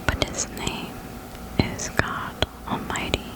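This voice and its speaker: Whispered voice, breathy, slow, gentle ASMR reading, close microphone, soothing. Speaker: host of an ASMR reading channel. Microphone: close desktop condenser microphone.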